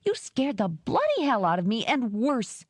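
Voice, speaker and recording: A woman voicing a proud, quick-tempered young woman shopkeeper in an audiobook, with a raised, emphatic delivery. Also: a little high, wildly swooping pitch, a little mellow, dry recording, clean studio sound, pitched above the performer's own voice. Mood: indignant. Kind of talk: audiobook character reading.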